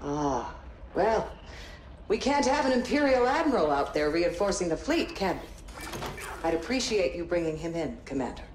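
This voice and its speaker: Stateswoman for a sci-fi game, a wry, courteous senator, animated inflection, roomy sound. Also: fairly low pitch, moderate pace. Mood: wry, authoritative. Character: poised, friendly female senator giving orders. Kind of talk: cinematic game acting. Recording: roomy echo, clear ambient noise behind. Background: ambient background noise.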